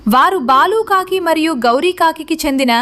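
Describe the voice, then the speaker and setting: A woman's voice: extremely high pitch, moderate pace, a bit bright. A woman narrator reading a scripted story for children.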